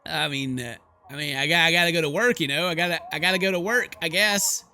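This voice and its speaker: A man's voice: on the high side, fast, pushed and bright. A man hosting a horror game let's play, reading the story aloud and reacting in a theatrical, exclamatory way. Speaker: gaming video host.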